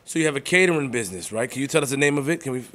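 A man with a New York accent hosting a podcast, talking in a teasing, playful, energetic way. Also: medium pitch, racing. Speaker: podcast host. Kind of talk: casual podcast chat.

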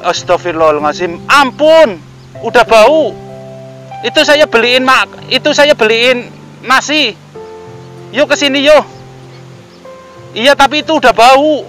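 A man's voice: very high voice, animated, measured pace, pauses often, fairly bright tone, soft background music. A middle-aged man with a Javanese accent, speaking casually and politely as an on-location vlogger, slightly muffled by a face mask. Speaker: vlogger helping homeless people. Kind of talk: casual on-location vlog narration and chat. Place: outdoors, yard and riverside by a road bridge.

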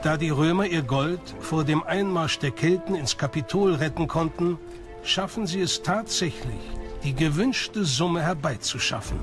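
High-pitched male documentary narrator over music. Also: measured pace. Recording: music behind the voice. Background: background music.

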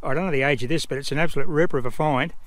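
A man's voice: a little high, rapid-fire, no echo. Chatty Australian man detecting outdoors. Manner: enthusiastic, chatty, thinks aloud. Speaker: metal detecting vlogger. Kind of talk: casual on-site commentary. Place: outdoors at a rural abandoned site.

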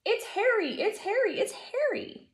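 A woman voicing an excited little child in a storybook, calling out eagerly, recorded in an echoey room. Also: extremely high pitch, unhurried, voice pushed up. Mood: excited shouting.

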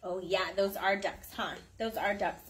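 A young woman doing an animated picture-book read-aloud for her toddler, playful, sing-song and encouraging, chatting to the child as she goes. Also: medium pitch, normal speed. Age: young adult. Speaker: mother reading storytime to her toddler. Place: outdoor backyard patio. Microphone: camera a few feet away.

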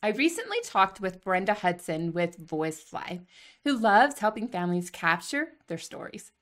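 A woman's voice: medium pitch, big pitch swings, moderate pace. A middle-aged woman hosting, presenting and interviewing in a conversational way from a home room. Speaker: host.

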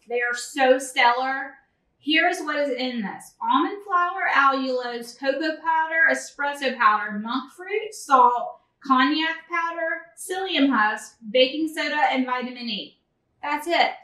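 Chatty high-voiced woman in kitchen. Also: sharp tone, distant mic. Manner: chatty and enthusiastic, addresses viewers as y'all. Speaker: cooking channel host. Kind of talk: casual cooking vlog. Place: large home kitchen. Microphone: camera a few feet away. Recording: echoey kitchen, voice a bit off-mic.

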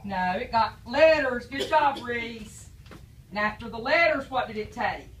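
High, bright-voiced woman teacher. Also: expressive, measured pace, a little hiss or hum. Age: adult. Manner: coaxing, praising, quizzing young children. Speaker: preschool teacher. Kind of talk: reading aloud and leading a class activity. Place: classroom. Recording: echoey classroom from far off.

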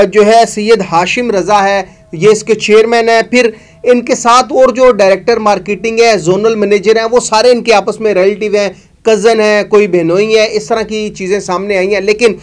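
A man, hosting a news show and giving emphatic, accusatory commentary to camera in a studio. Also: high-pitched. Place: studio with green screen.

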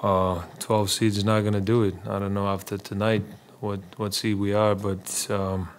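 Low, flat-voiced man at a press conference. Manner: halting, repeats words, many fillers. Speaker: basketball player at a postgame press conference. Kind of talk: postgame press conference answers. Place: small press room. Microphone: table mic in front.

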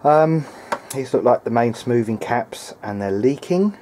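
Guy doing a casual repair video at his bench, talks slow n unsure, bit of room noise behind. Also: mid-range voice, lively intonation, mellow. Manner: hesitant, tentative, thinking aloud. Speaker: hobbyist repairer presenting a video diary. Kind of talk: casual hands-on repair commentary. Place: indoors at a workbench.

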